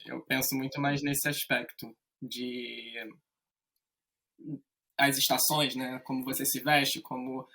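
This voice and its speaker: A man with a Carioca accent talks loosely as a podcast guest, wandering through his points with plenty of fillers. His voice is bright and forceful, at a middling pitch. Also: little pitch movement, slow. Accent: Carioca Brazilian Portuguese. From Rio de Janeiro, Brazil. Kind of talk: casual conversation.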